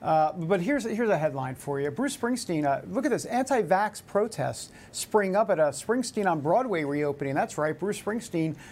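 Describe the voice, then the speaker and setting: A man's voice: sits high, animated, moderate pace, hardly any pauses, a little mellow. A middle-aged man from New York hosting a talk radio show, rambling and joking with plenty of filler. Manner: jokey, rambling, lots of filler uhs.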